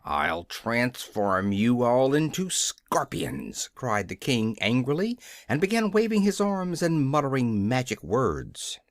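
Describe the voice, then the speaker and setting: A man reading a children's story aloud as an expressive audiobook narrator. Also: mid-range voice, no echo, studio quality.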